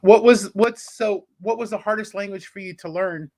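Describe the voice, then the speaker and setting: A man's voice: high-pitched, steady tone, normal speed, darkish tone. A man with a casual, chatty style, acting as an interviewer. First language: English.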